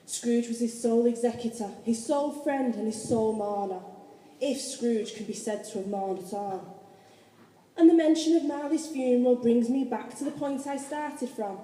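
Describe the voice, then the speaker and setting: Echoey hall, woman narrator, very soft dark tone, dramatic storytelling. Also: a little high, moderate pace.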